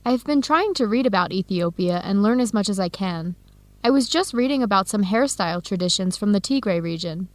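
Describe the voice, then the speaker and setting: An actress with an American accent playing an eager, friendly researcher abroad in an educational radio drama, speaking with enthusiasm. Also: female voice, mid-range voice, animated, a little fast, no room sound, lightly acted. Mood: curious, cheerful. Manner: enthusiastic, encouraging. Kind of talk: radio drama acting, clear educational dialogue.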